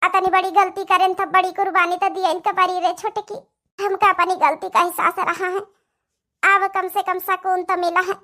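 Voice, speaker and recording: A woman's voice: extremely high pitch, little pitch movement, moderate pace, bright, forceful tone. A woman voicing a remorseful young daughter-in-law in a cartoon melodrama, pleading tearfully with an Awadhi accent. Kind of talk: cartoon family melodrama acting.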